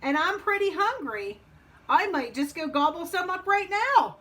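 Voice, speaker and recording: A woman voicing a hungry, boastful wolf in a storybook, knowing and friendly, with drawn-out emphasis. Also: very high voice, big pitch swings, sharp tone, voice pushed up, heavily acted.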